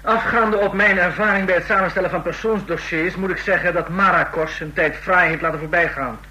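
Pedantic regime official in radio drama: high-pitched, extremely sharp, formal. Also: male voice, brisk, a little hiss or hum, low-quality recording. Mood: critical, officious. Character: officious male bureaucrat of a police state. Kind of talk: radio play acting.

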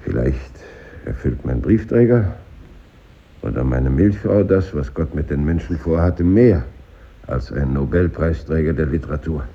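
An actor playing a pompous, ironic grammar-school teacher who lectures his class in measured rhetoric, in 1950s radio drama style. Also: rumbling low, lively intonation, full character voice. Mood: solemn, admonishing. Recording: muffled old radio recording, echoey room.

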